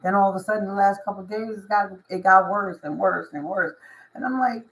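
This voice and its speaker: Hoarse woman vlogger; raspy, insistent, repeats herself for emphasis, motivational spiritual chat. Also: mid-range voice, a little fast, rough recording. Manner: emphatic and repetitive, constant "you know what I mean". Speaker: spiritual reader and life coach. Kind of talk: casual motivational monologue to camera.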